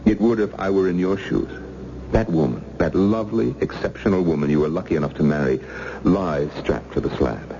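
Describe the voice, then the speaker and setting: A man playing a suave, menacing villain in old-time radio thriller style, mock-polite and drawn-out, theatrically taunting. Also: low voice, vocal fry, mellow. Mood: cold menace. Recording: dull, hissy old radio recording.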